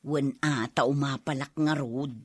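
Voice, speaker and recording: A woman's voice: rumbling low, fairly even intonation, a little slow, slightly rough, no echo, exaggerated voice. A woman playing a weary older Filipino mother and wife in a radio drama. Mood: weary, grumbling. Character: older mother, weary wife venting about her husband.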